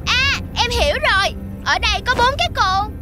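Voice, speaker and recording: A woman voicing an eager, sweet little girl in an animated cartoon. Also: very high voice, moderate pace, music in the background.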